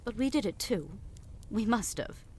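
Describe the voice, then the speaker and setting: A woman's voice: mid-range voice, lively intonation, moderate pace, mellow, slight background noise, close to an everyday voice. A woman playing a haughty middle-aged queen and guilt-tripping mother in an audio drama, dismissive and cajoling by turns. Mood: coaxing, wistful. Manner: dismissive, cajoling, imperious, with exasperated sighs. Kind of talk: audio drama podcast acting.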